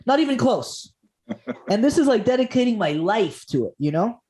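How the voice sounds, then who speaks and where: A man's voice: sits high, sing-song, moderate pace, mellow. A man from Costa Rica, a comedian guest on a podcast, telling stories with enthusiasm and jokes and laughing often. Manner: enthusiastic, jokey, laughs often, restarts words. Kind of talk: animated podcast storytelling.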